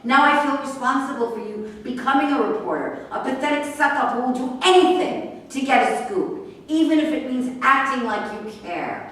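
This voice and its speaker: Sharp-tongued, distrustful actress as a woman horse trainer, higher-pitched, sarcastic stage reading in a very echoey room. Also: expressive, moderate pace. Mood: accusing, scornful. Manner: sarcastic, confrontational. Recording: echoey room, distant mic, faint noise.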